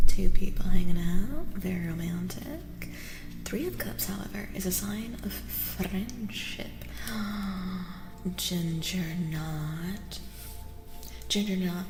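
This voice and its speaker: A woman streams a gentle, soft-spoken tarot reading in a casual ASMR style. Her unhurried voice often sinks into a whisper and carries some creak. Long reverb surrounds her, and music plays clearly behind. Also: mid-range voice, noisy background.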